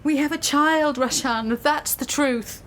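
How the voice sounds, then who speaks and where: A woman's voice: high voice, slow, fairly bright tone, slight background noise. A woman acting in a radio drama as a wounded, resentful academic and spurned lover, speaking in a bitter, articulate, emotionally charged way. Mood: anguished, accusing.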